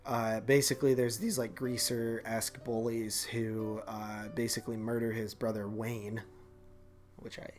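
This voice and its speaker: A man's voice: fairly low pitch, flat delivery, unhurried, darkish tone. Guy doing laid out movie review chat, slangy n rambly, faint guitar music behind him. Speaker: podcast co-host.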